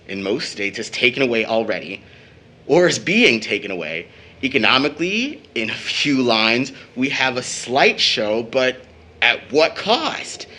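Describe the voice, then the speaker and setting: An outspoken young man in an audio drama. His mid-pitched voice is forceful and animated, with emphatic, declamatory phrasing. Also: slight background noise. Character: Tom, impassioned outspoken young brother, teasing. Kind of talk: stage play audio drama acting.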